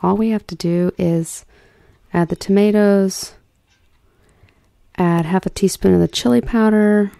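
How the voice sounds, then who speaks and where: A soft-spoken woman hosting an ASMR cooking role play, chatting soothingly as if to a guest. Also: medium pitch, fairly even intonation, a little slow, creaky, long pauses, no room sound, clean studio sound. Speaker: ASMR host.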